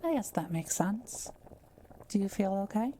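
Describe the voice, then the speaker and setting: A woman playing a kind, gentle witch in an intimate roleplay audio drama, speaking softly and carefully to the listener. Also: mid-range voice, animated, moderate pace, a little hiss or hum, lightly acted. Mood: tender, affectionate. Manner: soft-spoken, reassuring, careful explaining.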